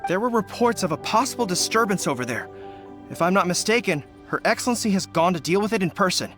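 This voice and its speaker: An earnest young general in a video game, with a high, lively voice. He is loyal, brisk and respectful, speaking formally over music. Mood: concerned, dutiful.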